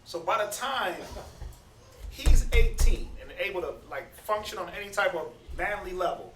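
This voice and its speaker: A man in his thirties gives a laid-back scripture talk, stammering and doubling back on his words. His voice sits a little high for a man. He is recorded from a distance in an echoey room with a faint hiss behind him. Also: lively intonation, moderate pace. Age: 30s. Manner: conversational, preachy, stammers and repeats words. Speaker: Bible study speaker. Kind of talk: casual teaching talk.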